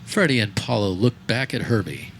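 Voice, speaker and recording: A man's voice: on the low side, lively intonation, normal speed, fairly bright tone. A man narrating a scripted comedy radio play, recorded live outdoors. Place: backyard, before a live audience. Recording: live outdoor recording, faint background noise.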